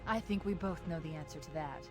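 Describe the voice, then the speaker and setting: An actress playing a shipboard artificial intelligence, composed and articulate with a dry, teasing wit, in a video game cinematic. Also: female voice, low-pitched, brisk, loud music behind the voice. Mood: cool, matter-of-fact. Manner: cool, articulate, dryly teasing. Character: female shipboard AI, composed, wry, quick-witted. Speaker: ship's artificial intelligence advising the captain. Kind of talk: video game cinematic acting, dramatic dialogue.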